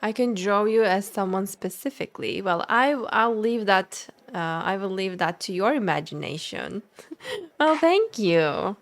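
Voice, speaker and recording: A woman, a non-native English speaker, giving casual, expressive live game commentary and chatting playfully with viewers. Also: medium pitch, measured pace. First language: not English. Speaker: game streamer.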